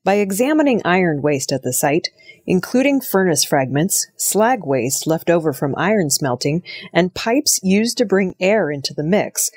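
A woman newsreader delivering scripted narration in a measured, formal manner. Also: on the low side, husky, dry recording. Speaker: audio news reader.

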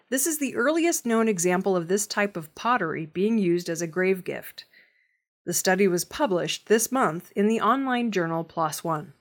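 A woman reading scripted news stories as the presenter of an audio newscast. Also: medium pitch. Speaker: news reader.

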